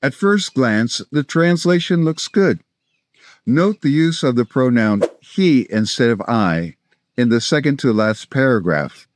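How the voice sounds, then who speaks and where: A man in his sixties from South Florida with an American accent, presenting scripted language-learning instruction in a measured, explanatory way. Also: mid-range voice, expressive. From South Florida, United States. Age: 60s. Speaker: language-learning video host, polyglot. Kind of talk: scripted instructional narration to camera.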